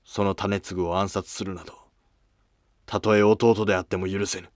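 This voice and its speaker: A man's voice: low-pitched, level tone, normal speed, no echo, studio quality, natural voice. A man playing a stern, sorrowful emperor of ancient Japan, speaking in solemn, commanding archaic phrases for a history drama.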